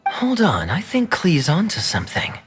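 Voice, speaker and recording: A man's voice: high-pitched, wildly swooping pitch, moderate pace. An articulate young male scholar. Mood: thoughtful. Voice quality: articulate, explanatory. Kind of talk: game cutscene dub. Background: game music.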